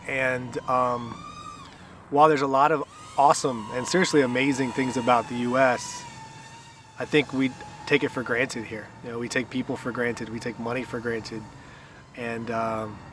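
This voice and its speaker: A man's voice: mid-range voice, fairly bright tone, recorded from a distance, slight background noise. A man from Guatemala, a guest in a casual outdoor interview, answering in a wry, self-deprecating way with frequent laughs. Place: outdoors at a park playground. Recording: voice a bit off-mic.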